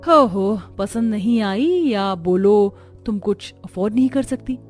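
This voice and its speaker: A woman's voice: medium pitch, measured pace, soft background music, natural voice. A woman voicing a haughty young rich daughter-in-law in a cartoon story, condescending and showing off. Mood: scornful.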